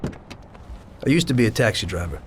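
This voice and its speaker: An actor voicing a weary middle-aged mobster and former cab driver in a game cutscene, speaking in a measured, matter-of-fact way. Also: male voice, lowish voice, sing-song, a little hiss or hum. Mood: reflective narration. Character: weary mobster and ex-taxi driver seeking a deal. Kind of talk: video game cutscene dialogue and narration.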